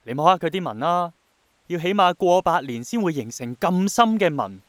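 An actor playing an idealistic, gentle young man in a radio drama, reflective and earnest, with a Hong Kong accent. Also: a little high, expressive, moderate pace, no room sound. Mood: enthusiastic. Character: idealistic, persistent young man longing for rain to return.

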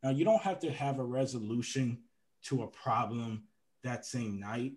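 A man's voice: medium pitch, normal speed, a little mellow. A young man co-hosting a podcast, talking casually and laughing often, with hesitant restarts when he turns reflective. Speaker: podcast co-host. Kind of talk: casual conversational debate.

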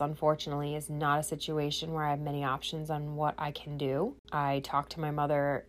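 A woman hosting a podcast, congested from a cold, talking earnestly and directly to her listeners in a personal monologue. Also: sits low. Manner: earnest, speaking straight to listeners. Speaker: podcast host.

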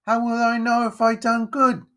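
A man voicing a poor young servant boy in a storybook, frightened and defiant, with rough uneducated grammar. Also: very high voice, completely flat delivery, moderate pace, bright, forceful tone, pitched above the performer's own voice. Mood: upset, defiant.

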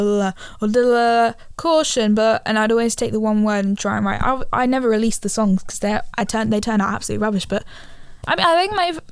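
A teenage girl hosting a solo podcast from her living room on a handheld mic, chatting casually, rambling and correcting herself, her voice a little gravelly from a cold. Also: a little high, no echo. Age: teens. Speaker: podcast host. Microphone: handheld studio mic.

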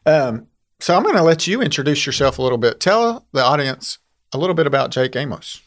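A man's voice: a little high, very animated, brisk, fairly bright tone. Upbeat chatty male podcast host. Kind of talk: casual podcast interview.

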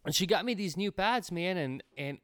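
A man's voice: high-pitched, expressive, moderate pace, fairly bright tone, no room sound. A man from Latvia co-hosting a podcast, laid-back and filler-heavy in casual chat. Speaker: podcast co-host.

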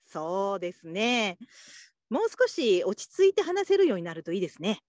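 A woman playing a patient, supportive call-centre supervisor in a radio drama, calm and politely instructive. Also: medium pitch, moderate pace, dry recording, lo-fi sound, lightly acted. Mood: gentle, instructive. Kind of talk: Japanese radio drama acting.

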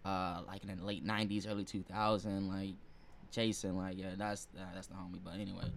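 A man's voice: low voice, flat delivery, a little fast, creaky, slight background noise. An American man hosting a podcast in a casual, slangy, chatty way. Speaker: podcast host. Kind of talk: casual chat.

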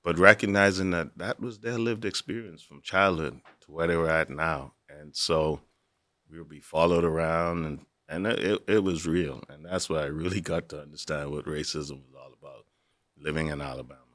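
Guy, really low voice, earnest and reflective, dry close sound. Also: steady tone, normal speed, fairly bright tone, dry recording. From Bahamas. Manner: reflective, earnest, with easy laughs. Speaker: university assistant director, guest. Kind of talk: personal storytelling in conversation.

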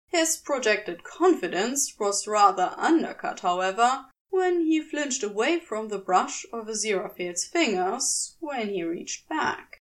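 Expressive, high-voiced woman narrating. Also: distant mic. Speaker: fan fiction podfic narrator. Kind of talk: expressive scripted story narration.

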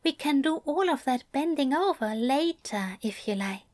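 A murmuring male angel, tender and sly, coaxing in a hushed, raised voice, as a woman reader puts him on. He lingers over each phrase, unhurried and intimate, in a storybook reading. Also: high-pitched, expressive, pitched above the performer's own voice. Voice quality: soft, murmuring.

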